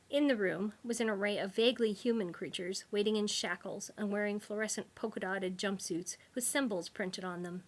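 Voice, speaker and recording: A woman narrates a comic sci-fi novel as its author. Her voice is medium in pitch and notably bright and forceful. The delivery is wry and expressive. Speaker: author reading own book.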